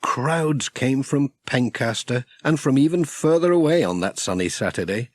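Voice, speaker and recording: A man's voice: medium pitch, expressive, normal speed, dry recording. A man with a British accent reading a children's story as a scripted audiobook narrator.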